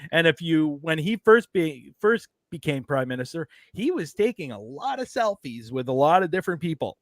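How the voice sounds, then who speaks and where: A man's voice: on the high side, very animated, moderate pace, no room sound, clean studio sound. A man hosting a casual online talk show, rambling and digressive as he chats over a video call. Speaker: show host.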